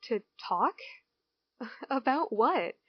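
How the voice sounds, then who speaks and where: A woman playing a withdrawn small-town girl in an amateur audio drama, hesitant, halting and apologetic. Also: fairly high pitch, big pitch swings, slightly rough, no echo, full character voice. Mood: wary, confused. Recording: dull, dry lo-fi home recording.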